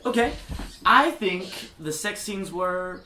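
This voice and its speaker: A woman's voice: mid-range voice, lively intonation, takes it slowly, sharp tone. A woman acting as a sassy, blunt and politically earnest student editor in a satirical radio play. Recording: distant echoey room, faint noise.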